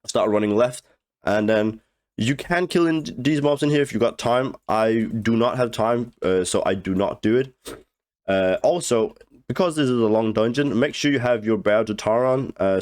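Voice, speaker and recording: Hoarse, congested man guiding a game. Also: lowish voice, a little mellow. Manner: halting, many fillers, clears throat. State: hoarse with a cold, blocked nose. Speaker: game guide creator. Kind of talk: casual gameplay walkthrough commentary.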